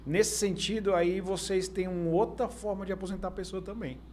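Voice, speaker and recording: A man with a Brazilian accent hosting an informal podcast, haltingly recapping and posing hypothetical questions. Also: high voice, steady tone, warm tone, a little hiss or hum. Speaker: podcast host. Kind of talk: informal conversational interviewing.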